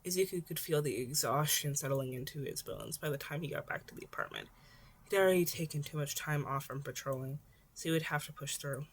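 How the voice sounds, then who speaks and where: A woman's voice: sits low, fairly quick, breathy edge. A woman narrating fan fiction in an amateur audiobook style, now and then stumbling and rereading words.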